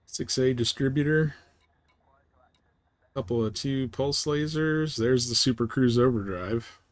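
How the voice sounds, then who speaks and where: A man streaming a video game, with a medium-pitched voice. He talks casually and in a laid-back way, thinking aloud in short fragments as he plays. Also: a little slow. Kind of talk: casual live gameplay commentary.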